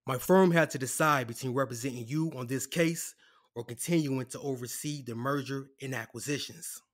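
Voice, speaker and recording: A man playing a composed, apologetic corporate lawyer in an audio drama, speaking in a measured, formal way. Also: medium pitch, lightly acted. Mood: matter-of-fact. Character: composed, apologetic corporate defense lawyer delivering bad news.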